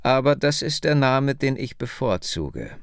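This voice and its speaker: A male narrator voicing an elderly woman from Ohio who tells her family's story slowly and insistently. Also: medium pitch, sing-song, creaky, clean tone, dry recording, clean studio sound, pitched above the performer's own voice. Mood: quietly insistent. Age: older.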